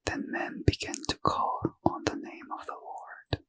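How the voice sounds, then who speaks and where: A man reading scripture aloud as a soft, slow ASMR whisper, gently lilting. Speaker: reader.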